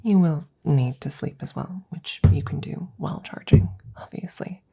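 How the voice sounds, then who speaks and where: Devoted, worried female scientist, played by a woman, close-mic ASMR drama: lowish, mellow voice, soft whispering, rambling and tender toward the listener. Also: normal speed. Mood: tender, earnest. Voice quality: breathy, often whispering. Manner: hesitant, rambling, gently reassuring. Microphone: close microphone.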